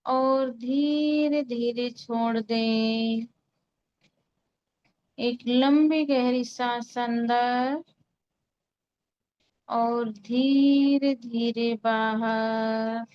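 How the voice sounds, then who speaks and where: Very slow-speaking woman guiding meditation. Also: a little high, clean tone, lo-fi sound. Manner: calm, soothing, unhurried. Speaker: meditation guide and Reiki healer. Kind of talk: guided meditation, slow instructions with long pauses.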